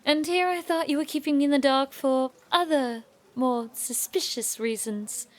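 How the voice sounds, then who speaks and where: An actress playing a sharp-witted, teasing young noblewoman student in an audio drama, with an arch, dry delivery. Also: sits high, normal speed, natural voice. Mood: suspicious, playful.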